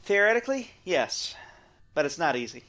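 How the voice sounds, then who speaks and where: A man playing an excitable scientist in an audio drama, explaining technical things in a wry way. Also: fairly high pitch, normal speed, muffled recording. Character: excitable male scientist explaining space physics.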